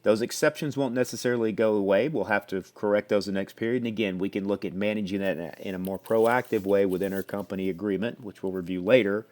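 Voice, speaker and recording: A man presenting a software demo, explaining step by step in a conversational way. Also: on the low side, fast, hardly any pauses. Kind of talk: explanatory product demo walkthrough.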